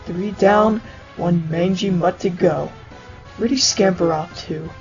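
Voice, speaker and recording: A woman voicing a vengeful skeleton who taunts with menacing puns in an animated comic dub. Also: lowish voice, slow pace. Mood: mocking. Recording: lo-fi audio under loud music.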